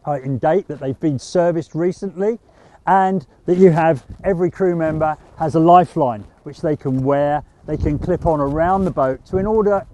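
A middle-aged man from the UK giving practical sailing safety advice in a steady, methodical way, with the odd small restart. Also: fairly high pitch. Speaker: sailing instructor. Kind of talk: instructional talk to camera.

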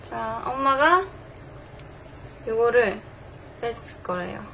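A woman's voice: on the high side, expressive, takes it slowly, gravelly, sharp tone, slight background noise. A young woman in her twenties with a Busan accent, chatting casually as a beauty vlogger in her bedroom, laughing often. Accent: Busan Korean. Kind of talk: casual makeup chat. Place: bedroom, indoors.

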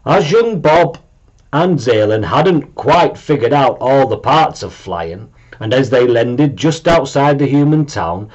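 A man around his forties narrating a children's story in an animated, deliberate way. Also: mid-range voice, dull audio. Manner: expressive, deliberate. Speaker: storybook reader. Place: small room at home, indoors.

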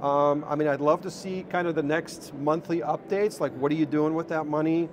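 A man's voice: a little high, steady tone, normal speed, a little mellow. A man in his fifties recounting a visit in an unscripted interview, speaking into a clip-on mic. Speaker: interview guest, electric car enthusiast. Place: large indoor car show hall. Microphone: clip-on wireless lapel. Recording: noisy exhibition hall ambience.

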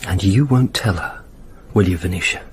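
Radio play adulterer: politician, low, very husky, uneasy, evasive. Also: male voice, normal speed. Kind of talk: radio drama acting.

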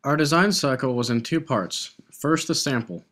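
A man's voice: medium pitch, animated, normal speed, a bit bright. A young man, an engineering student, steadily narrating his class project in a plain, explaining way. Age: young adult.

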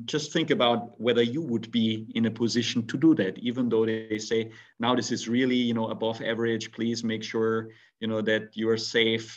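A man in his forties from Austria, a native German speaker, speaking on a webinar video call with many restarts and fillers. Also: on the low side. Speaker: guest speaker. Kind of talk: webinar talk. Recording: dull lo-fi video call audio.

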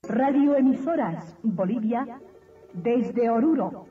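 A high-pitched man announces a radio station with a lively delivery. It is a thin, tinny shortwave recording with some music behind him. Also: unhurried, distant mic. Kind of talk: scripted station identification.

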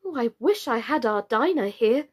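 A woman's voice: fairly high pitch, animated, moderate pace, slightly rough. A woman voicing a polite, eager young girl in a storybook, light and girlish, with an earnest, pleading manner. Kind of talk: children's storybook character reading.

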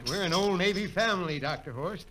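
A man's voice: high voice, brisk, natural voice. A man playing a jovial old small-town father in a 1950s radio drama, with a folksy, hearty manner. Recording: noisy old radio recording.

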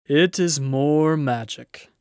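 A man's voice: fairly high pitch, slow pace, smooth, dry recording, studio quality. A man voicing a majestic, noble lion in a storybook, speaking in a grand, emphatic way. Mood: majestic, calm. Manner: grand, solemn, emphatic delivery. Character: great noble male lion, majestic and joyful. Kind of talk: storybook character voice.

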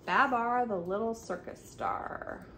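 A middle-aged woman hosting a storytime, reading a children's picture book aloud with expressive, simple storyteller phrasing for young children. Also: on the high side, slow. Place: small indoor room by a brick wall, seated. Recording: clearly noisy home recording.

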